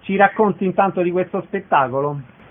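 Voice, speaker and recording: A man with a fairly high voice hosts a radio interview. His sound is very narrow and lo-fi, like a phone line. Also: brisk, slight background noise.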